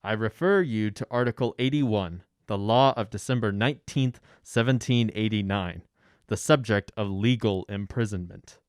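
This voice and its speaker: A man playing calm, commanding mayor. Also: on the low side, normal speed, clear, resonant tone, dry recording, studio quality, lightly acted. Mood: firm. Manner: calm, firm, compassionate. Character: kind, authoritative male town mayor. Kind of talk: radio drama acting.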